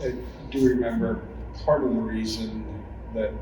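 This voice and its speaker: A man, a longtime church member, giving a reflective testimony in a measured way with pauses mid-sentence. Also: lowish voice, flat delivery. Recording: very echoey room, distant mic, noisy.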